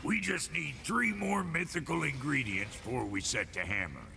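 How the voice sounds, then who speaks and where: A man voicing a gruff, crude, foul-mouthed dwarf blacksmith in a video game, with a blunt, grumbling, sarcastic manner. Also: on the high side, expressive, moderate pace, sharp tone, soft background music, exaggerated voice. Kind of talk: video game character acting.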